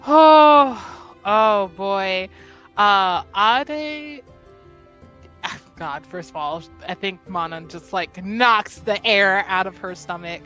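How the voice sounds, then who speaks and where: A woman's voice: medium pitch, slow pace, fairly bright tone, soft background music. A young woman player thinking aloud as she describes her character's actions in a tabletop game, hedging often.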